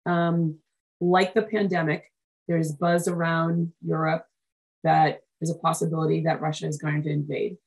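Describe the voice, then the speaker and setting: Chatty, effusive woman host, lower voice. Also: little pitch movement, moderate pace, creaky, rough recording. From United States, Haitian family. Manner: effusive, chatty, lots of fillers. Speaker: podcast host. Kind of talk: casual interview chat.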